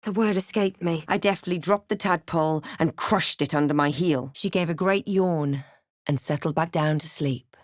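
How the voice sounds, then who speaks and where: Woman acting a wry, measured adventurer heroine. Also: low voice, dry recording, studio quality, lightly acted. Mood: tense, reflective. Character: young female adventurer hero, wry, wary and thoughtful. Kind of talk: video game character voice lines.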